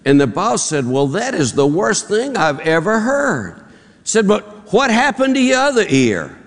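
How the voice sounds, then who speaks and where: Folksy Southern preacher in a reverberant hall. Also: male voice, high-pitched, sing-song, measured pace. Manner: folksy storyteller, drawling, acts out voices in jokes. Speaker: pastor. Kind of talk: sermon with folksy joke-telling. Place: church auditorium. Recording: long reverb in a large hall.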